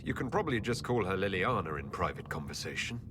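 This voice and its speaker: An actor playing a composed heroic leader in a video game, speaking courteously and diplomatically. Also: male voice, low-pitched, very animated, fast talker, noisy background. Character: polite, composed heroic leader of a holy order. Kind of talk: video game dialogue acting.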